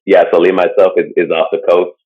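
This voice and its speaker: A man's voice: deep voice, level tone, fast talker. A man co-hosting a casual podcast, talking through a topic in a loose, rambling way full of fillers. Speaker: podcast co-host presenting a topic. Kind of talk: casual chat. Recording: dull lo-fi sound.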